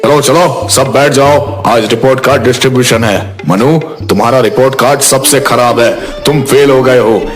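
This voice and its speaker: A man's voice: medium pitch, moderate pace, few pauses, soft background music, natural voice. A man playing a stern schoolteacher in a Hindi moral story. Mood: stern, scolding. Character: stern male schoolteacher scolding a noisy class.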